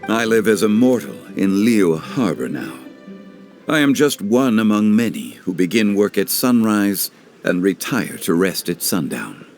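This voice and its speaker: A composed, ageless gentleman from an anime game. He speaks formally and wisely in a low voice with lively, shapely intonation. Faint music plays behind him. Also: measured pace. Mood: calm, reflective. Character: calm, wise, dignified ancient god living as mortal gentleman. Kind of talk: anime game dub acting.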